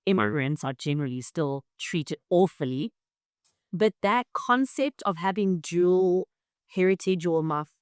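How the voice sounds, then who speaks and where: A woman's voice: fairly low pitch, expressive, a little slow, smooth, no room sound, clean studio sound. A young adult woman, a guest in an interview, talking thoughtfully and often checking in with "right?". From South Africa. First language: Shona. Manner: thoughtful, frequent "right?" tags. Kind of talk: reflective interview talk.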